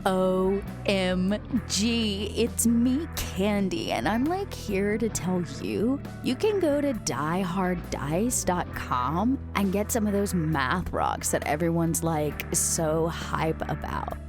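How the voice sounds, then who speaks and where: A woman's voice: fairly low pitch, sing-song, slow, few pauses, music in the background, heavily acted. A woman voicing a spoiled, ditzy young valley-girl vampire who gushes with lots of filler words. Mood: perky, entitled. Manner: gushing, filler-heavy valley-girl talk.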